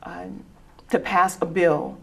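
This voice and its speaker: A woman's voice: fairly low pitch, slow, gravelly, a bit bright, husky. A middle-aged woman educator speaking on a discussion panel in an earnest, conversational way, often restarting words and laughing.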